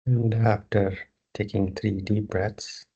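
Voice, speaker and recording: Male yoga nidra guide: very slow delivery, dark soft-edged tone, short spaced-out instructions, dull lo-fi sound. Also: on the low side, level tone, breathy edge. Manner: unhurried, with long pauses between short phrases. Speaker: yoga nidra meditation teacher.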